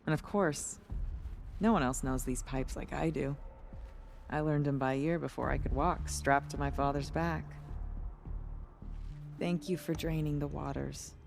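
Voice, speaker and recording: A woman's voice: low voice, steady tone, measured pace, vocal fry, pauses often, a little mellow, soft background music. A woman playing a proud, grateful village musician in a video game, speaking earnestly and lyrically. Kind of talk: video game cutscene acting.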